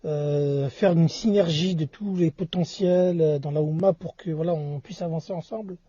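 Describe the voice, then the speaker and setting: A man in casual discussion, talking haltingly and often repeating and rephrasing himself. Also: on the high side, dark tone, no room sound. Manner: halting, repeats and reformulates phrases. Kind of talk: casual two-person discussion. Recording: dull lo-fi audio.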